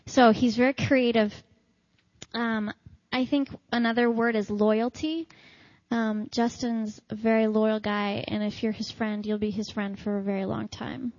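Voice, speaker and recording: A woman's voice: a little high, little pitch movement, measured pace, darkish tone, dry recording. Earnest woman, dry muffled sound. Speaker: church member interviewed with her husband. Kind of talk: casual interview answers.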